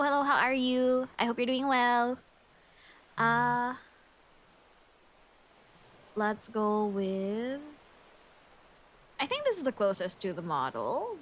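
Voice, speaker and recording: A woman's voice: fairly high pitch, a bit bright, a little hiss or hum. A young adult woman from the Philippines with a Filipino English accent, chatting casually and giggly while thinking aloud on a game livestream. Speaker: streamer.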